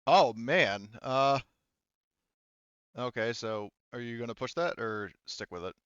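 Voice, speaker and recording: A man speaking casually and haltingly, with some swearing, as game master of an online tabletop roleplaying game. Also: medium pitch, animated, a bit bright, clear, resonant tone, dry recording, dull audio.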